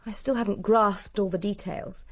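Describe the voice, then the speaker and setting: A woman's voice: medium pitch, fairly even intonation, normal speed, natural voice. An actress playing a brittle upper-class young woman in a radio drama, blunt and strained. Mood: fed up.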